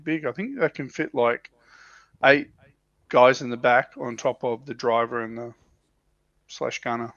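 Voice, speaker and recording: Male hobby-stream panelist, casual and hesitant, gravelly edge, dry dull recording. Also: medium pitch, a little slow, long pauses, fairly bright tone, dry recording. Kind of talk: casual chat about miniature wargaming. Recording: dull, muffled lo-fi audio.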